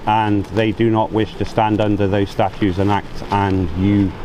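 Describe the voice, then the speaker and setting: A man's voice: on the low side, flat delivery, unhurried. A British man in an insistent, confrontational street debate, cutting in and repeating himself as he presses his points. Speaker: citizen activist filming a street encounter. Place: outdoors on an urban street. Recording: noisy outdoor street with traffic.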